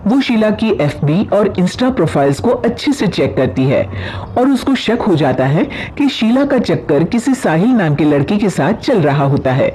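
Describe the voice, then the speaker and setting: A woman's voice: medium pitch, sing-song, moderate pace, warm tone, slight background noise, low-quality recording. A woman narrating a scripted story as the storyteller.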